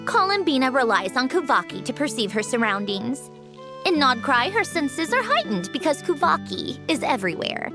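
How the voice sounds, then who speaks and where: Brief: aloof, proud young game heroine, highish lively voice, clipped delivery, music underneath. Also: female voice, normal speed. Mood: brisk, guarded. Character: proud, guarded young woman, brusque and secretly nostalgic. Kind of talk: English video game voice acting.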